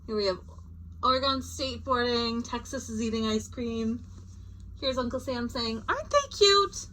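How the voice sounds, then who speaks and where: A woman teacher doing a children's read-aloud, expressive and chatty, with casual asides. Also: on the high side, normal speed, a little hiss or hum, rough recording.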